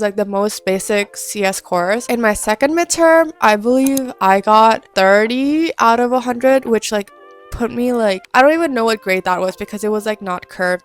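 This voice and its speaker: A woman in her twenties from Indonesia, telling a personal story to camera in a chatty, earnest way with lots of filler words. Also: medium pitch. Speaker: vlogger.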